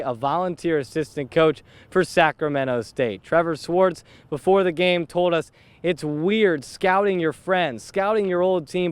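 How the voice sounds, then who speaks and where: A young man hosting sports reports and interviews with deliberate, announcer-like phrasing. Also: on the high side, clear, resonant tone, no room sound. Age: young adult.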